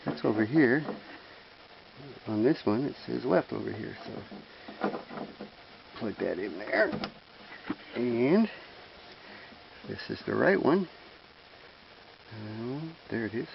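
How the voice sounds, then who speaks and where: A man's voice: mid-range voice, lively intonation, slow, creaky, long pauses. A man presenting an informal how-to, explaining step by step in a plain-spoken way, recorded on a handheld camera. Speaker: presenter of a hands-on how-to video. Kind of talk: informal hands-on tutorial. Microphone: handheld camera microphone. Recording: dull lo-fi handheld camera audio with hiss.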